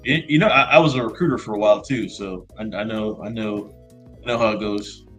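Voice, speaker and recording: Easygoing halting man reminiscing. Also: lowish voice, fairly bright tone, husky, soft background music. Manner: easygoing, halting, lots of fillers and repeats. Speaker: co-host. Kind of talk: casual chat.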